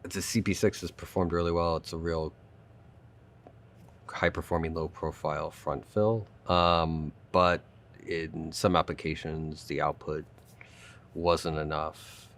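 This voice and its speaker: Male design expert, deep voice with little pitch movement, informal interview talk. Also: level tone, measured pace, fairly bright tone. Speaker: audio company design expert.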